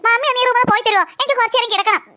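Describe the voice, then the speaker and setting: A woman's voice: very high voice, very fast, pushed and bright. A woman voicing a sulky, weary little girl in a children's cartoon, petulant and whiny. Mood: sulky, irritated.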